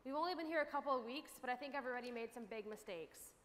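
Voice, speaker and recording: A woman's voice: on the high side, quick pace, fairly bright tone, natural voice. A young woman playing a regretful college student recounting her experiences in a staged monologue. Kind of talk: staged readers theater monologue. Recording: echoey hall, faint room noise.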